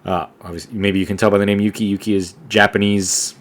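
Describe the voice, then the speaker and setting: A young man co-hosting a podcast, talking casually in a relaxed, rambling way with lots of fillers and restarts. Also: lowish voice, fast talker. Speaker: podcast co-host. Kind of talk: casual conversation.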